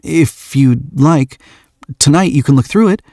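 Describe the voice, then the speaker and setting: A shy, eager young man who stumbles over his words, his voice pitched well up and bright with nerves, as a storyteller puts him on. Quick restarts and hesitations fill his lines. The sound is close and dry, with no room around it. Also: expressive, no echo, lightly acted.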